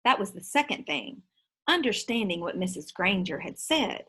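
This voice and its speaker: A woman with a medium-pitched voice reads to children as their teacher. She moves between storybook narration and plain explanation, giving reminders along the way. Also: big pitch swings, moderate pace, pushed and bright, husky. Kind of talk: children's book read-aloud with explanations to pupils.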